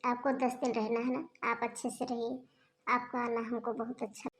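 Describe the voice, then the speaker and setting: A woman voicing a patient, soft-spoken young daughter-in-law in a homemade family skit, talking gently. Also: on the high side, flat delivery, normal speed, close to an everyday voice, rough recording. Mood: gentle.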